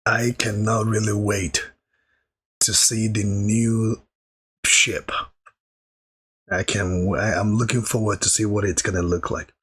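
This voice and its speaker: A man with a hoarse, recovering voice, chatting through a live anime reaction stream and thanking viewers in the chat. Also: lowish voice, flat delivery, slow, pauses often, a bit bright.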